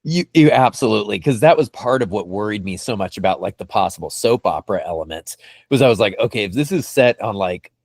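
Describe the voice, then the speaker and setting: A man's voice: fairly low pitch, animated, quick pace, darkish tone, no room sound, clean studio sound. A man co-hosting a podcast in casual fan discussion, enthusiastic and rambling, repeating words as he goes. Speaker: podcast co-host.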